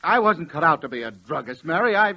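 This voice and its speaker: A meek, dreamy druggist in his late forties speaks in a highish, very bright voice. He stammers and hesitates, then swells with fervor. It is 1940s radio acting on a dull old recording. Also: sing-song, a little fast, full character voice. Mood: wistful, frustrated. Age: late 40s. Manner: hesitant, stammering, turning impassioned. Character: meek, dreamy middle-aged druggist obsessed with trains.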